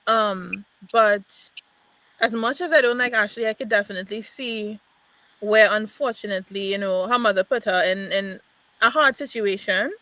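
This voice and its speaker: A woman's voice: mid-range voice, moderate pace, pushed and bright, dry recording, poor audio. A woman chatting bluntly and emphatically about a reality show. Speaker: panelist.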